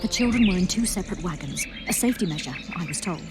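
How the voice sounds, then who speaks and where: A woman's voice: lowish voice, expressive, fast, natural voice. A woman playing a guarded, shaken survivor in a fantasy video game, speaking earnestly and somewhat formally. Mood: grim recollection. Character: guarded, shaken female survivor and protector of royal children. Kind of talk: dramatic video game acting. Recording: music behind the voice.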